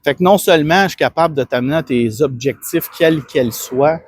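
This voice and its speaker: Earnest Québécois man, slightly husky. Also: a little high, fairly quick. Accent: Québécois French. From Quebec. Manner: rambling, earnest, many restarts and fillers. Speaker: fitness coach. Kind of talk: casual self-promotional monologue.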